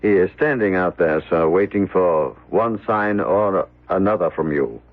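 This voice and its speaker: A deep-voiced, deferential manservant. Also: male voice, a little slow. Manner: formal, deferential, careful. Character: tall, deferential manservant, formal and polite. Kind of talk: old-time radio drama acting. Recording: tinny, narrow vintage radio broadcast.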